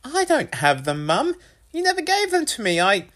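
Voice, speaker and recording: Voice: sits high, huge pitch swings, moderate pace, fairly bright tone, pitched above the performer's own voice, heavily acted. A narrator voicing a self-conscious schoolboy in a storybook, whiny, sarcastic and complaining. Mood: defensive.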